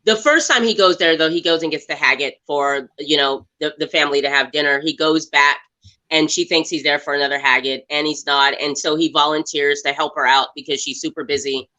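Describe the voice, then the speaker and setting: A woman guest on a movie podcast, retelling scenes with animated laughter. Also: low voice, a little fast, low-quality recording. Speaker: guest actress. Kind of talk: casual movie review chat.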